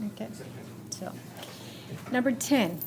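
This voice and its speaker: A woman's voice: a little high, lively intonation, slow pace, darkish tone. A woman teaching a math class, going over quiz answers step by step and checking with short questions. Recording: noisy classroom recording.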